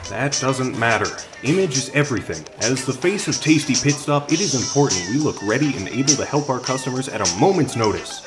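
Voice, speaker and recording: Man acting an officious, mid-pitched store manager. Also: lively intonation, fairly quick, few pauses, music in the background, close to an everyday voice. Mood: stern. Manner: stern, formal, pompous. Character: officious, strict male fast-food manager, company loyalist. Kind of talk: audio drama acting.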